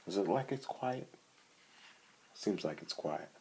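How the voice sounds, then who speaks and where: Storytime reader: male, very low register, takes his time, conversational delivery with asides to the kids. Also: expressive, unhurried, gravelly, noisy background. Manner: conversational, often asks the listener questions. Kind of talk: reading a picture book aloud, with casual asides to young listeners.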